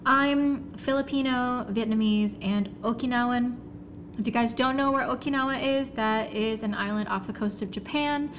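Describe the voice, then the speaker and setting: A woman's voice: a little high, normal speed. A young American woman from San Francisco co-hosting a podcast in an informal, chatty way. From San Francisco, United States. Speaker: podcast co-host and barista. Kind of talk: casual podcast chat. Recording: clear background noise.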